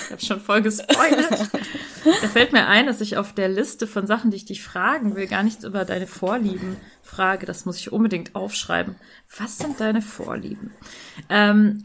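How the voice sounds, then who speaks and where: A woman's voice: medium pitch, normal speed, a bit bright, slight background noise. A woman hosting a podcast, chatty and teasing with her guest. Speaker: podcast host. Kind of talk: casual podcast interview.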